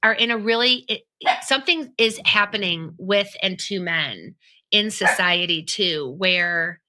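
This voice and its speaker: A woman hosts a podcast interview with gushing enthusiasm. Her voice is bright, sits at an ordinary middle pitch, and she laughs easily, often trailing off and restarting her thoughts. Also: measured pace. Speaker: podcast host.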